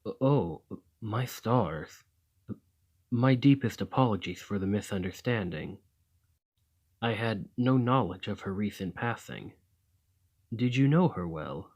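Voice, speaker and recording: A man playing a centuries-old vampire gentleman in a scripted ASMR roleplay, weary and courteous, with formal, old-fashioned manners and a measured delivery. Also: on the low side, animated, slightly rough, muffled recording. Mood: polite, regretful.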